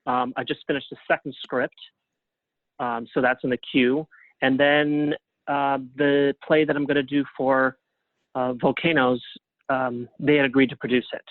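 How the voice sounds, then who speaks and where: A man giving casual interview answers as a guest over a phone line, in a halting way with frequent restarts. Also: medium pitch, little pitch movement, dry recording. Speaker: guest, playwright and librettist. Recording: thin phone-line sound.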